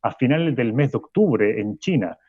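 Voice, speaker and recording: A man's voice: mid-range voice, expressive, normal speed, husky, dry recording, phone-quality sound. A man playing a grave, conspiratorial radio host, declaiming dramatically in a radio drama. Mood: ominous. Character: adult male radio host, conspiratorial and solemn.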